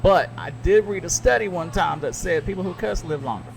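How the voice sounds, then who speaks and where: A middle-aged man with a Southern US accent, a radio broadcaster chatting casually on a podcast, animated and quick to jump up in pitch when he makes a point. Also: creaky, slight background noise, low-quality recording. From American South. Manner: animated storyteller, jumps up in pitch when emphatic.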